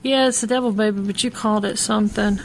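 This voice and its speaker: A woman co-hosting a podcast in casual chat, dry-humored and quick to laugh. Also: mid-range voice, steady tone, a little hiss or hum, low-quality recording. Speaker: podcast co-host.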